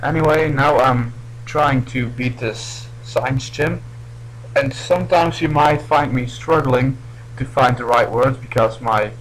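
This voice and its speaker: A man's voice: medium pitch, a little slow, fairly bright tone, slight background noise, poor audio. A young man from Belgium, not a native English speaker, giving casual, hesitant let's play commentary. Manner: hesitant, restarts often. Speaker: gameplay commentator.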